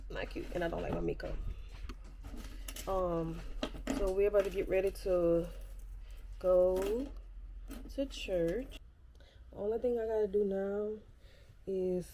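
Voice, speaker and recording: A woman's voice: on the low side, dark tone, slight background noise. A young woman with a stuffy nose from a cold, chatting casually and rambling to her viewers in a vlog at home. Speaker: vlogger.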